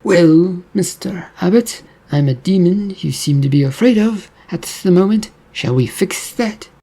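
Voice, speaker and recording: A man voicing a sly, scheming male demon who taunts and coaxes in a drawn-out way. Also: high voice, warm tone, breathy edge, voice pushed up, full character voice. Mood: coaxing sly.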